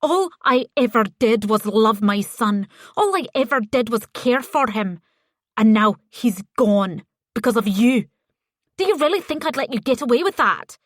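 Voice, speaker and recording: Woman putting on a gravelly-voiced spiteful older lady, all smug glee, pitched a bit high, dead-dry sound. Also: animated, measured pace, dry recording. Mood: indignant. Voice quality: gravelly smoker's voice. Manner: mocking, gleeful, taunting. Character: spiteful gloating older woman, a grieving mother. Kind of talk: audiobook character voice.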